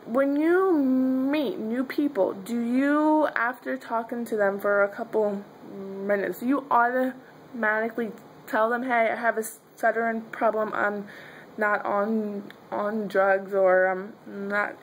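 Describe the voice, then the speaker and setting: A woman's voice: on the high side, steady tone, slow pace, slight background noise, low-quality recording. A young woman who stutters, with blocks, repeated sounds and fillers, talking casually to her viewers in a video diary from a dim bedroom. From Pennsylvania, US. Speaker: vlogger. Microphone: webcam or phone held close.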